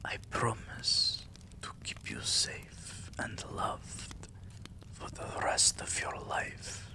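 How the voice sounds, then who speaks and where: A man playing a tender, possessive vampire king in an intimate ASMR roleplay, murmuring soothingly and often whispering, with a deep, gravelly voice. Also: very slow. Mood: soothing, loving.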